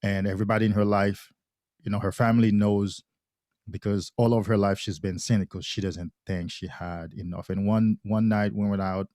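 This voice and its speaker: A man's voice: on the low side, level tone, mellow, no echo, studio quality. A man from Haiti, a podcast guest talking thoughtfully in casual conversation, often restarting his sentences. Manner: thoughtful, halting with frequent restarts.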